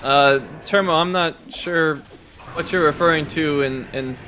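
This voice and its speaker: A hoarse man with a raspy voice, a game producer chatting casually as a guest, hesitant and often restarting his sentences. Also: a little high, unhurried, bright, forceful tone, a little hiss or hum. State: hoarse from a cold. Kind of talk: casual chat while playing.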